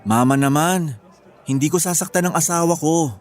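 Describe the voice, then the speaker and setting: Casting: a man to play an earnest, loving young Filipino husband, higher male register, radio drama with music. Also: moderate pace, lightly acted. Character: devoted young groom, tender, earnest and reassuring. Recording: music bed behind the voice.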